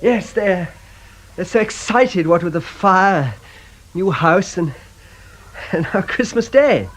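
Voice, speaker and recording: Flustered high-voiced vicar. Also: male voice, animated, slow, exaggerated voice. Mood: flustered, apologetic. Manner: hesitant, stammering, polite. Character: flustered, apologetic, mild-mannered male locum vicar. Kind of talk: radio drama acting.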